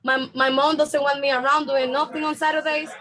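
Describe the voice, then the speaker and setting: A woman with a Dominican accent, a native Spanish speaker, chatting as a podcast guest, upbeat and quick to laugh. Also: sits high, flat delivery, bright, forceful tone. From Dominican Republic. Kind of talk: casual podcast conversation.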